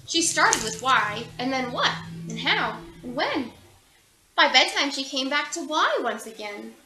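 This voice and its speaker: A woman reading a children's picture book aloud in an animated, theatrical way, acting out the characters. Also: high-pitched, unhurried, echoey room, over music, poor audio. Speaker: storybook reader.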